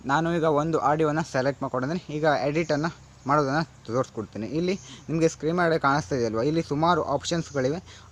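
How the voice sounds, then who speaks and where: A man hosting a casual step-by-step tech tutorial, instructing viewers in a friendly-address, repetitive way. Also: on the high side.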